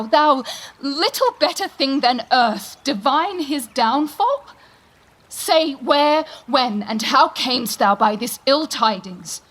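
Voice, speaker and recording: A woman's voice: sits high, a bit bright, exaggerated voice. A woman playing an anguished, indignant queen in a Shakespearean radio play, proud and commanding in declamatory verse. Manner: declamatory, imperious, formal verse delivery.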